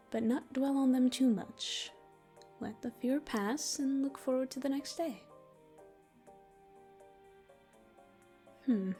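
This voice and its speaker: A woman voicing a gentle, protective, teasing female demon in an ASMR comfort roleplay, speaking soothingly, intimately and unhurriedly, at times in a whisper. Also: a little high.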